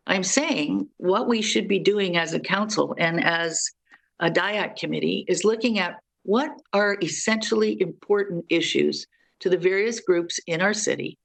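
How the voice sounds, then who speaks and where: A woman's voice: on the low side, gravelly. A woman speaking as a city councillor in debate, blunt and emphatic. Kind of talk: council meeting debate remarks.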